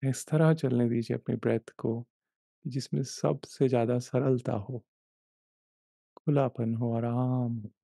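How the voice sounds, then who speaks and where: A man leading a guided meditation, giving gentle, unhurried instructions in short repeated phrases with long pauses. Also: medium pitch, steady tone, vocal fry, husky, dry recording, clean studio sound. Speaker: meditation guide, life coach.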